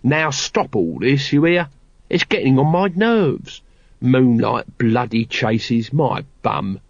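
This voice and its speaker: A man voicing a cowardly, seedy, low-class veteran watchman in an audiobook, with a muttering, slangy, uneducated grumble. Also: animated, slow, creaky, no echo, lo-fi sound, heavily acted. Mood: irritated. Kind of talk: audiobook character voice.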